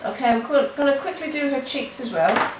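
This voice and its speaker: A woman with a nasal voice from hay fever, talking halting and casual as she walks through a coloring tutorial at home. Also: high voice, highs cut off. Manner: halting, with restarts and fillers. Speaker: art tutorial host. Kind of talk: casual step-by-step tutorial narration. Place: home in the countryside. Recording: roomy, mic at a distance, faint noise.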